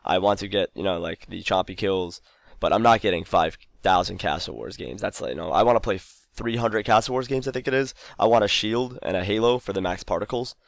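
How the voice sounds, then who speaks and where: A man's voice: low voice, fairly quick, creaky, no room sound. A young man giving casual, rambling commentary over his gameplay, full of fillers and restarts, tired and losing his voice. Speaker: gaming channel host.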